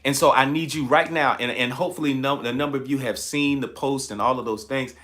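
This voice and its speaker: A man with a bright, forceful voice that carries a slight tired rasp. He talks like a motivational livestream host, landing on key phrases and repeating them for emphasis. His pitch sits in an average male range. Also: fairly even intonation, brisk. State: slightly raspy, tired voice from shouting the night before. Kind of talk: motivational livestream talk, preaching-like.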